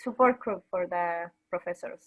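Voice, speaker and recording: A woman's voice: fairly low pitch, normal speed. A woman, an education technology officer, introducing herself plainly and modestly over a video call.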